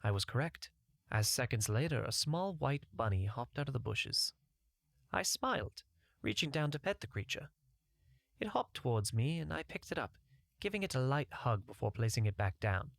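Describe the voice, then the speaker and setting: A man's voice: mid-range voice, big pitch swings, moderate pace, gravelly, dry recording, studio quality. A man narrating a scripted first-person fantasy short story as an audiobook reader.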